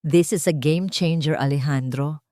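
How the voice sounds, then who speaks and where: A woman's voice: low-pitched, measured pace, warm tone, dry recording, clean studio sound. Woman as a formal, probing Filipino attorney. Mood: businesslike, probing.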